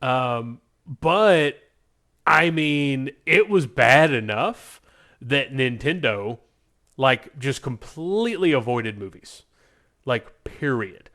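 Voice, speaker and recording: A man's voice: mid-range voice, lively intonation, takes it slowly, fairly bright tone. A man hosting a podcast in a loose, informal, conversational way, rambling as he goes. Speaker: podcast host. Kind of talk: casual podcast chat.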